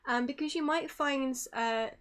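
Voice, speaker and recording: Woman, high voice, informal stream presenter, polite, apologetic. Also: measured pace, fairly bright tone.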